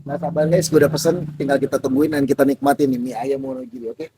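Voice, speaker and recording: A hoarse man, excitedly vlogging about street food outdoors at a stall and calling his viewers "guys". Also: mid-range voice, fast, noisy background. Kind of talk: casual street-food vlog.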